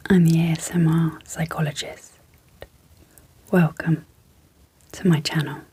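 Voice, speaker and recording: Woman, breathy near-whisper, slow pace, even low-key intonation, gentle pauses, ASMR sleep hypnosis. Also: slight background noise. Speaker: ASMR host and clinical psychologist.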